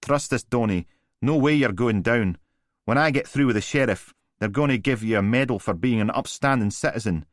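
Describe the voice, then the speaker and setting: A man's voice: mid-range voice, moderate pace, no room sound, studio quality, pitched above the performer's own voice, close to an everyday voice. A male narrator voicing a scruffy middle-aged lawyer with a broad Glaswegian accent, offhand and slangy. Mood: breezy.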